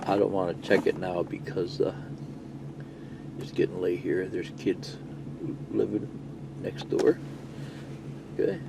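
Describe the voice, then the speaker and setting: A man's voice: sits low, level tone, slow, gravelly, long pauses, noisy background. A middle-aged man giving a casual, rambling, plain-spoken hands-on walkthrough of a gadget, explaining as he goes. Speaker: CB radio video presenter.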